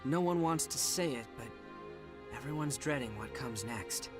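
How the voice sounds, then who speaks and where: A man's voice: medium pitch, expressive, normal speed, close to an everyday voice. The grave, resolute young officer played by a man who narrates a war game's cutscenes over orchestral music. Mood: grave, weary. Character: earnest young army lieutenant, grave and resolute. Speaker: game voice actor playing a lieutenant and narrating. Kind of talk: dramatic scripted game dialogue and narration.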